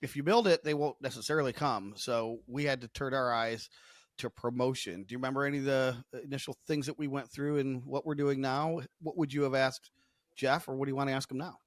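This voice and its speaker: Male podcast host, medium-pitched, dry sound. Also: fairly even intonation, brisk, no echo. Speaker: podcast host steering the interview. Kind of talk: conversational hosting.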